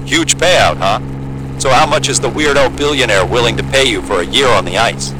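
Guy playing a chatty blunt pilot in an audio drama, casual and sweary, skeptical, loud motor noise behind him. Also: mid-range voice, normal speed, lightly acted. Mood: nosy, teasing. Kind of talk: naturalistic audio drama dialogue. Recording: loud engine hum and vehicle noise.

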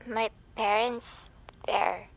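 Downcast, higher-pitched teenage girl played by a woman. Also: fairly even intonation, extremely slow, gravelly, full character voice, low-quality recording. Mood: hurt, downcast. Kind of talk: naturalistic scripted audio drama acting.

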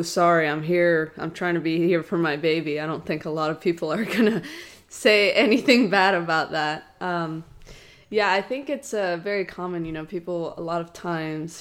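A woman co-hosting a podcast, chatting in a relaxed, conversational way. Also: on the low side. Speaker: podcast co-host. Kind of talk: casual chat.

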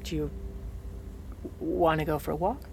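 A woman's voice: low-pitched, moderate pace, vocal fry, a little hiss or hum. An actress playing a proud, sardonic aging former First Lady in an audio drama, wry and haughty with formal wording. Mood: awkward, guarded. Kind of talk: audio fiction drama, first-person memoir narration and dialogue.

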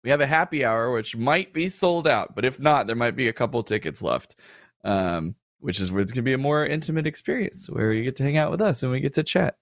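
A man's voice: medium pitch, brisk, dry recording, studio quality. A man in his thirties, a show co-host, chatting casually on a livestream with frequent fillers and laughs.

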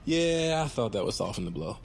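An actor playing a boastful, defensive goofball bounty hunter who rambles in casual slang, in a naturalistic comedic audio drama. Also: male voice, medium pitch, big pitch swings, fairly quick, creaky, breathy edge, heavily acted. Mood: teasing.

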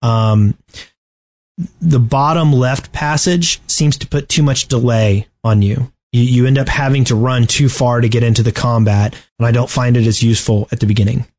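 Man, mid-pitched voice, podcast host, casual strategy talk, halting delivery. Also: steady tone, a little mellow. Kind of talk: casual game strategy discussion.

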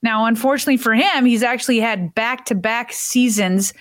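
Bright female sports show co-host. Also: a little high, steady tone, fairly quick. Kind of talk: scripted sports talk.

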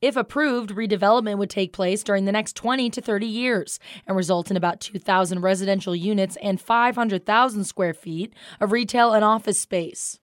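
A woman's voice: medium pitch, normal speed, few pauses, no room sound. Female American radio news anchor, dry studio sound. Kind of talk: scripted radio newscast.

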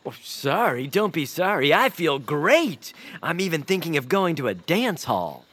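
A naive young cartoon creature for a family audio drama. He sounds earnest and easily flustered, with a lively, expressive range. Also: fairly high pitch, unhurried, a little hiss or hum. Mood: breezy. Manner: earnest and animated.

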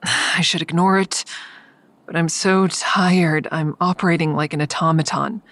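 A woman's voice: low voice, steady tone, measured pace, a bit bright, no echo. An actress in an audio drama playing an exhausted, defiant woman who tells of her ordeal in a grim, blunt way. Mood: weary, grim. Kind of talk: first-person dramatic narration in audio drama.

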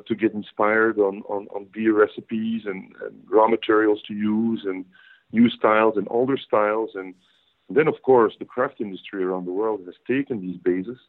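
A middle-aged man with a Belgian accent, talking conversationally as an interview guest and explaining things with repeated phrases for emphasis. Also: lowish voice. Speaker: interview guest, brewing and hops professional. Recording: tinny phone-like band-limited line.

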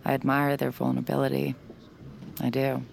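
A woman's voice: very deep voice, monotone, fairly quick, creaky, a little mellow, a little hiss or hum, heavily acted. An actress playing Sid, a wry and anxious young woman who mutters dry, self-deprecating asides in an audio drama. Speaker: lead character and narrator.

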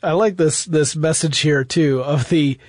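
A man with a slightly high voice co-hosts a podcast in a conversational storytelling style. He adds wry, emphatic asides as he goes. The recording is dry, with little room sound. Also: a little slow, darkish tone, no echo. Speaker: podcast co-host.

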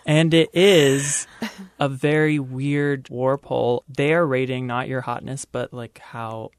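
A man's voice: mid-range voice, steady tone, slow pace, smooth, no echo. Conversational male interviewer, dry close sound. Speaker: interviewer, co-host. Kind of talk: conversational interview, personal anecdotes.

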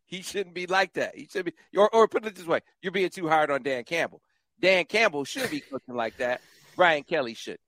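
A man's voice: a little high, pushed and bright, dry recording. A man hosting a recruiting podcast, talking sports casually and animatedly, given to exclamations and repeats. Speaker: podcast host.

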